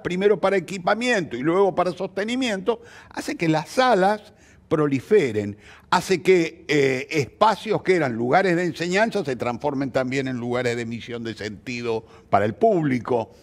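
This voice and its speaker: Animated older Argentine man explaining. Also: a little high. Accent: Argentine Rioplatense Spanish. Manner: emphatic, didactic, builds points with rhetorical questions. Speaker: playwright interviewee. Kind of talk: conversational explanation in an interview.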